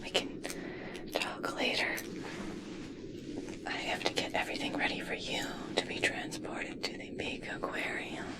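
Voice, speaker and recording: A woman playing an obsessed, possessive yet tender scientist in a slow, breathy, intimate ASMR roleplay whisper. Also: lightly acted.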